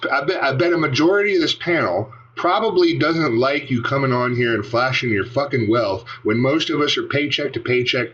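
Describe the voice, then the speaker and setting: A man's voice: medium pitch, fairly bright tone. Confrontational man on dull audio. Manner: confrontational, swearing. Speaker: panelist. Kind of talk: heated panel argument. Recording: dull audio with faint noise.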